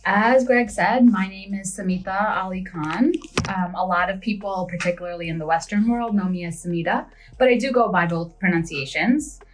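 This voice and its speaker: A woman from Bangladesh, raised in Brooklyn, speaking as a lawyer guest on a podcast in a measured, thoughtful way. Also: mid-range voice, noisy background. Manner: measured, thoughtful with soft fillers. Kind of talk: podcast interview.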